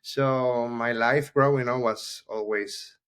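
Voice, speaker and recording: A man's voice: mid-range voice, steady tone, slow pace, fairly bright tone, smooth. A Venezuelan man with a Spanish accent, a journalist guest talking casually on a video call, friendly and peppered with "you know". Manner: friendly, speaks steadily with "you know" fillers. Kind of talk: casual interview.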